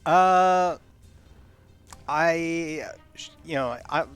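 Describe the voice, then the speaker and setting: A man's voice: on the high side, a bit bright, smooth, soft background music. A man playing a tabletop game, describing his character's actions hesitantly with many fillers. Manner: slow, hesitant, full of filler words. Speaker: player. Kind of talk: casual tabletop play.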